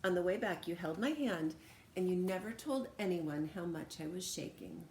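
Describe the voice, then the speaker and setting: A woman with a slightly low voice reads a children's story aloud. Her delivery is animated, with dramatic emphasis on key phrases. The room echoes around her and there is faint noise. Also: moderate pace. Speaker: storyteller reading a picture book aloud. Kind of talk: expressive children's read-aloud narration. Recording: roomy echo, background noise.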